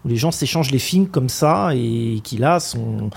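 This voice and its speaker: A man talks casually on a radio show, his thoughts coming out hesitantly with many fillers and restarts. His voice sits at an ordinary pitch for a man. He chats loosely in a radio studio. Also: lively intonation, unhurried, creaky, slightly rough. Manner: hesitant, full of "euh" and restarts.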